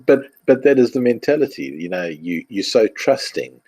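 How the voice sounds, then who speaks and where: A man's voice: medium pitch, moderate pace, slightly rough, no echo, rough recording. A man with a South African accent, telling stories in a casual podcast chat and laughing often. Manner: animated storyteller, laughs often. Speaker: co-host.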